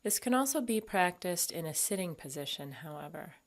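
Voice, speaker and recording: Low-pitched woman guiding a meditation. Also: animated. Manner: gentle, unhurried, with long pauses. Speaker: mindfulness meditation instructor. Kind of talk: guided body scan meditation.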